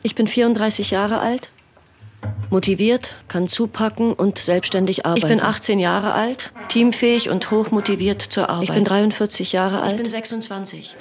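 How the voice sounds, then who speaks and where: A woman reading short application lines in a flat way. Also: mid-range voice, moderate pace, a little hiss or hum. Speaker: reader of letters in a film.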